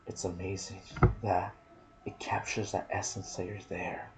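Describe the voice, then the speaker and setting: A man giving hushed ASMR gaming commentary, musing softly and often dropping toward a whisper. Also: sits low, flat delivery, a little slow, noisy background. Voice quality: soft, breathy, partly whispered. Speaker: gaming video creator and host. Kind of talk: ASMR gaming commentary mixed with casual chat.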